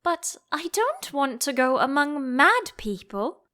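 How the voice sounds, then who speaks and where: A woman's voice: high-pitched, sing-song, slow, sharp tone, voice pushed up, full character voice. A woman voicing a curious, polite, slightly timid young girl in a storybook, earnest and questioning. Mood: puzzled, questioning.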